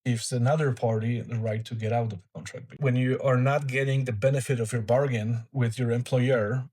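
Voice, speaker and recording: A man from Poland, a native Polish speaker with a Polish accent, explaining things as a lawyer in an interview and pausing with fillers. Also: mid-range voice, steady tone, a little mellow, breathy edge. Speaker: lawyer, podcast guest. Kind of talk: interview answers.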